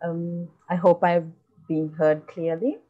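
A woman's voice: lowish voice, little pitch movement, takes it slowly. A woman in her thirties with a Sri Lankan accent, informally introducing herself as a poet over a video call. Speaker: poet and translator. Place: indoor room with bookshelves. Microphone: video call, close to the camera. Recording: dull video-call audio.

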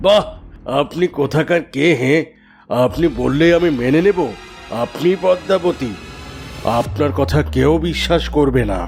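Scheming fairy tale cartoon minister, played by a man: slightly high-pitched, authoritative, formal, over loud music. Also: a little slow, gravelly. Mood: stern, scornful. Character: cunning royal minister, formal, commanding male schemer.

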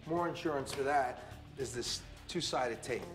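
A man hosting a home renovation show, with brisk, punchy, salesy narration and chat. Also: mid-range voice, faint music behind. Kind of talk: upbeat TV host narration and chat.

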